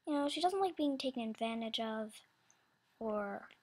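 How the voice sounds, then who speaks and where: A woman giving a casual, unscripted announcement as an animation creator, halting and scatterbrained, often interrupting herself, in a husky, breathy voice. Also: fairly high pitch, animated, a little mellow.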